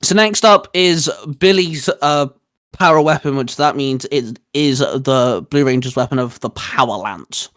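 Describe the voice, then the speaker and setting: A man's voice: medium pitch, measured pace, fairly bright tone, no room sound. A man in his twenties chatting casually as a co-host of a geeky review show, rambling enthusiastically. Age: 20s. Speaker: co-host of a fan discussion show.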